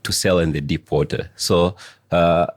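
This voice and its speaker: A middle-aged man from Tanzania, a coach answering interview questions slowly, pausing often as he searches for words. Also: low-pitched, fairly even intonation, dry recording, clean studio sound. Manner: slow, searching phrasing with frequent "uh" pauses. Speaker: guest coach. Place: studio.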